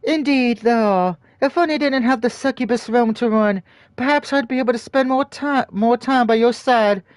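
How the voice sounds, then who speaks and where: A man's voice: extremely high pitch, moderate pace, smooth, dry recording, studio quality. A man voicing a prim, formal female succubus queen from a visual novel, with stiff, old-fashioned phrasing. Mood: haughty.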